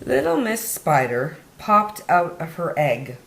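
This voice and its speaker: A woman hosting story time, reading a picture book aloud to young children with deliberate, dramatic emphasis on key words. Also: low voice, sing-song, gravelly, a bit bright, a little hiss or hum. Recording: roomy, echoey indoor sound.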